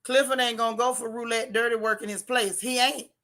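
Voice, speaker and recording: A hoarse woman who hosts a channel. She reviews a TV show in a casual livestream, talking straight to her chat with animated, opinionated takes. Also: on the high side, fairly quick.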